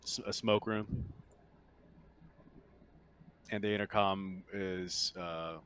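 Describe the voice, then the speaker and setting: A man with a fairly low voice runs a tabletop game as its game master. He describes things in a casual, conversational way. The recording is uneven, with some background noise. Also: steady tone, slow.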